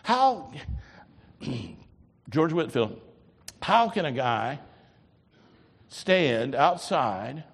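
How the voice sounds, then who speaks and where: An older man preaching a sermon as pastor, lively, swinging from loud to soft. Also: medium pitch, long reverb tail.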